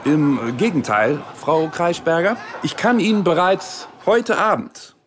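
A man playing a self-assured party leader in a satirical radio play, speaking with solemn, halting formality. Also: fairly high pitch, noisy background, close to an everyday voice. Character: ponderous, self-assured male party leader and finance minister.

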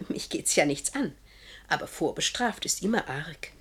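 Husky, gossipy judge's wife. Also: female voice, deep voice, expressive, moderate pace. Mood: chatty, admonishing. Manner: gossipy, self-important, condescending. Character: smug, chatty judge's wife, meddling but uninvolved. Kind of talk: radio play acting.

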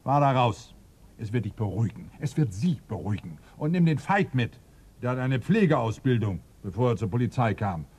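Police inspector, radio drama, grumpy, sardonic, bossy, dark husky tone, swooping intonation. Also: male voice, medium pitch, sing-song, normal speed. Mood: resigned, commanding. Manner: brusque, dry, sarcastic. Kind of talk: German radio drama acting.

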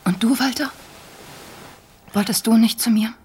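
Forthright young woman, soldier's lover, very husky and breathy, intimate radio play dialogue. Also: on the high side, unhurried, darkish tone. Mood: troubled.

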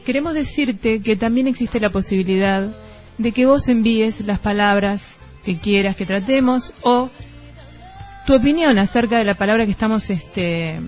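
A woman's voice: medium pitch, moderate pace. A woman with an Argentine Rioplatense accent co-hosting a literary radio programme. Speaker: radio co-host. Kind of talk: literary radio talk with poetic narration and read quotes. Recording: dull lo-fi radio recording. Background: background music.

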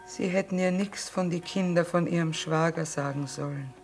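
A woman's voice: fairly low pitch, normal speed, a little mellow, soft background music, close to an everyday voice. An actress with a Southern German or Austrian accent playing a weary, stoical war-hardened trader mother in a radio drama, with subdued, plain folk speech. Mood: numb grief. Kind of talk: stage-style radio drama acting.

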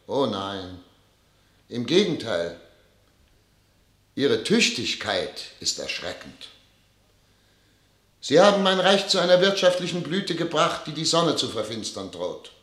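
Solemn head of state, radio drama, slow rhetorical delivery, echoey hall, disillusioned, grand. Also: male voice, mid-range voice, very animated, a bit bright. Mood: stern, oratorical. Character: solemn, disillusioned male ruler addressing his ministers. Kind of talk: theatrical radio drama oratory.

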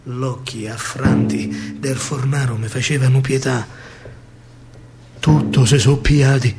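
A man with a Roman accent narrating dramatic verse on stage, declamatory, with pauses and drawn-out words. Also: on the high side, vocal fry. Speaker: actor-storyteller in a solo stage show.

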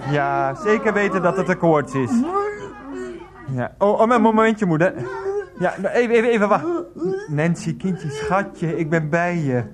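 An actor playing a hapless Dutch husband in a radio drama, soothing and evasive, often stammering. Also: male voice, high voice, animated, measured pace, crowd noise. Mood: placating. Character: Freek, hapless placating husband, wheedling and evasive.

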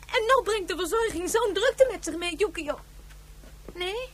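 An actress playing an anxious, hesitant woman in a radio drama, speaking in a halting, worried way. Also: extremely high pitch, lively intonation, a bit bright, exaggerated voice. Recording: faint noise and hum.

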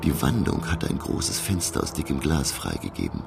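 An actor playing a learned professor who narrates his sea adventure in a radio play, with a gravelly voice. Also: rumbling low, sing-song, normal speed, over music, heavily acted. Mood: measured wonder. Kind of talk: dramatic first-person audio drama narration.

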